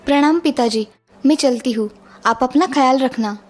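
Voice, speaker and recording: A woman's voice: high-pitched, moderate pace, faint music behind. A woman playing a polite, dutiful young daughter-in-law in a cartoon story, speaking earnestly. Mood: polite, reassuring. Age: young adult. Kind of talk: Hindi cartoon story acting.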